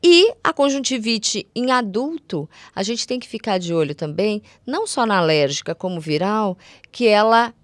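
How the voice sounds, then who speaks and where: A woman's voice: mid-range voice, expressive, normal speed, no echo. A Brazilian woman, a guest eye doctor on a podcast, explaining conditions conversationally, step by step in everyday words.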